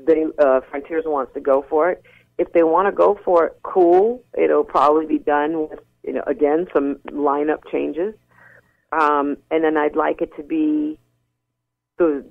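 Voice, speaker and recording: A woman rock singer speaks frankly and earnestly down a phone line, often restarting her sentences. Her voice has a gravelly fry. The sound is thin and narrow-band like a telephone. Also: sits low. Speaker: rock singer and interview guest. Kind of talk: candid phone interview.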